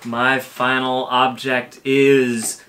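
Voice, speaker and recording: A man's voice: mid-range voice, little pitch movement, drawn-out words, fairly bright tone, slight background noise. A man in his thirties casually explaining puppet-making as a presenter, recorded in a home kitchen.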